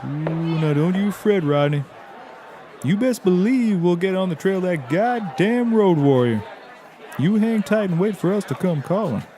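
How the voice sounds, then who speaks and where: A man playing an overeager, folksy hobo sidekick in a scripted audio comedy, cooing in drawn-out phrases. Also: sits high, expressive, dark tone, some chatter behind. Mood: reassuring, eager.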